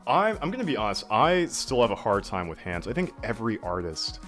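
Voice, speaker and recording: A deep-voiced man tells stories in an animated, easygoing way, packing his sentences with casual fillers. Faint music plays underneath. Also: brisk. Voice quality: deeper than average voice. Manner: animated storyteller, filler-heavy, laughs easily. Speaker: guest artist. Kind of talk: casual video call chat.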